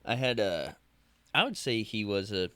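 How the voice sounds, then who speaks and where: A young man in his twenties with a low, clear voice co-hosts a casual podcast. He talks slowly and keeps restarting his sentences while he searches for words. The sound is dry and close. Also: sing-song, a bit bright, no room sound. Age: 20s. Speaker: podcast co-host.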